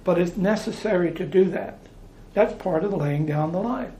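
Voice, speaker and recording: A man's voice: sits high, moderate pace, warm tone, roomy sound. An American man preaching informally, talking in a conversational way, repeating phrases and restarting his sentences. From United States.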